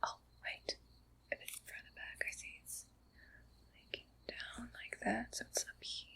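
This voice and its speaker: Slow whispering shady back-alley doctor woman acted out. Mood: focused, muttering. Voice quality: breathy whisper with some creak. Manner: slow, hushed, halting, conspiratorial. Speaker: ASMR roleplay performer. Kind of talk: whispered ASMR roleplay.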